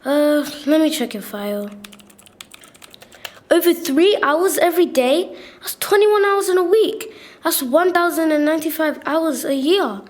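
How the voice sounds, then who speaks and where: Girl acting as a harried receptionist lady, high voice, snooty and brisk, radio play. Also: lively intonation. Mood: brisk, condescending. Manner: brisk, condescending.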